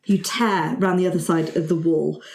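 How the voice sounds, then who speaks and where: A woman's voice: lowish voice, steady tone, moderate pace, darkish tone. A woman narrating and refereeing a tabletop game as game master. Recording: roomy, echoey recording.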